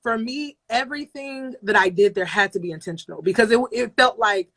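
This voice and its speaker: A young adult woman, a radio personality, talking in a relaxed interview and telling her story with emphasis, often repeating phrases for effect. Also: fairly high pitch, a little fast. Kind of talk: casual interview conversation.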